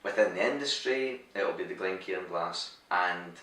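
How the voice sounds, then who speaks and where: Man, Scottish accent, brisk and matter-of-fact, dry humor, very deep voice, far from mic. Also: fairly bright tone. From Scotland. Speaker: managing director. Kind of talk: casual interview answers. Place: glassworks showroom. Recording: echoey room, voice a bit far from mic.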